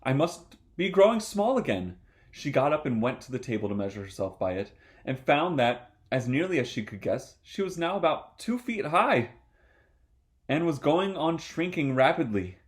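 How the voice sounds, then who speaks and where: A man's voice: mid-range voice, animated, moderate pace. A man reading a children's story aloud expressively as a storyteller.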